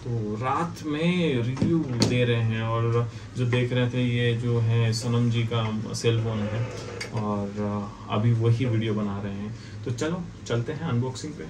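An Indian man in his thirties talks through a casual product review at a middling pitch. He explains things in a repetitive way, leaning on filler phrases. The mic sits some way off in a small office, with clear noise around his voice. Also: unhurried. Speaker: video host reviewing products. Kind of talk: casual unboxing and review talk. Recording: distant mic, clearly noisy room ambience.

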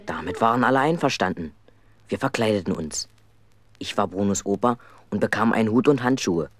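A man's voice: fairly low pitch, lively intonation, fairly quick, natural voice. A man narrating a children's radio play as a mischievous, aggrieved schoolboy telling his own story. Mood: recounting.

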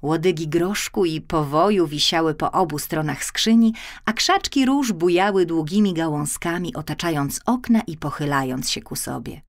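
A woman's voice: sits low, lively intonation, moderate pace, few pauses. A woman narrating a children's fairy tale expressively, drawing out key words for emphasis. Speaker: audiobook narrator.

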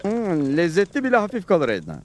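An actor voicing a helpful, composed cowboy hero in a dubbed cartoon, polite, reassuring and decisive. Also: male voice, high-pitched, wildly swooping pitch, brisk, darkish tone, slight background noise. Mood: easygoing.

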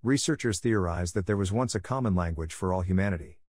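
A man with a fairly low voice reads out test sentences at a fast clip. The sound is dry and close, with no room to it. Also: a little mellow, dry recording. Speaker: test prompt reader. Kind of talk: scripted sentence reading for an English test.

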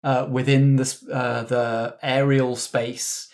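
A man runs the table as game master, laying out each scene at an unhurried, deliberate pace. His voice sits in a plain middle register, neither deep nor high. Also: little pitch movement, darkish tone. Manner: slow, measured scene description.

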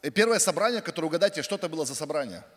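A middle-aged man interprets a sermon line by line, rushing through with fillers and quick restarts. His voice sits fairly high and moves fast. A long reverb from a large church hall hangs behind him. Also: lively intonation. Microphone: handheld mic.

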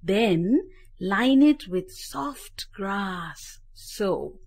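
A woman's voice: mid-range voice, animated, drawn-out words, darkish tone, heavily acted. A woman voicing a patient, wise female magpie teaching other birds, in a cheerful, instructive way.

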